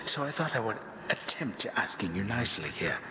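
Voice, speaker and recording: A man's voice: fairly low pitch, big pitch swings, brisk, creaky. A man voicing a sneering, mock-polite tyrant Titan in a video game, condescending and theatrical. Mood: contemptuous. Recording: echoing, noisy ambience behind.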